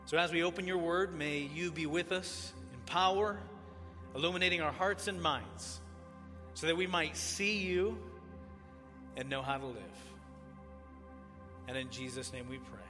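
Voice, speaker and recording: A man from Tanzania preaches with a bright, forceful voice that sits a little high for a man. His delivery is earnest and measured, in the steady cadence of a sermon. The sound carries a long church reverb with faint music beneath it. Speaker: pastor. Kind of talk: sermon with opening prayer. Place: church sanctuary.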